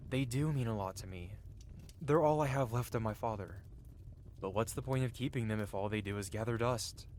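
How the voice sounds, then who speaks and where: A man's voice: lowish voice, a little fast, a little hiss or hum, close to an everyday voice. A man playing a cocky, flirty yet tender-hearted medieval blacksmith in an intimate, theatrical ASMR fantasy roleplay. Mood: caring, earnest. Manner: teasing, theatrical, with soft hesitations. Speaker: audio roleplay performer. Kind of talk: ASMR roleplay acting, intimate one-sided dialogue.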